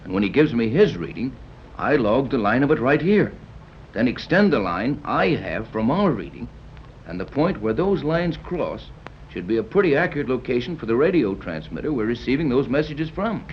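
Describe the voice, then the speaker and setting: An actor as a brisk, skeptical sea captain. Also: male voice, medium pitch, sing-song, slight background noise, natural voice. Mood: explaining. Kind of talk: 1930s radio acting. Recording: dull old radio recording.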